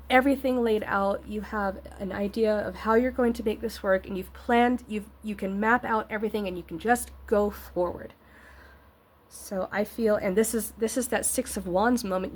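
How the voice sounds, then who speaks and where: A woman's voice: medium pitch, slightly rough. A woman giving a casual, encouraging tarot reading, rambling and searching for words, with a slightly scratchy voice and a congested nose. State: congested nose, getting over an illness. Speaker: tarot reader and host.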